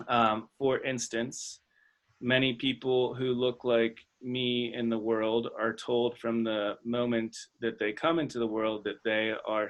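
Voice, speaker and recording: A man hosting an online webinar discussion, speaking in a thoughtful, tentative and halting academic manner. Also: medium pitch. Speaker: webinar host and facilitator. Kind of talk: hesitant, thoughtful facilitated discussion. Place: online video call.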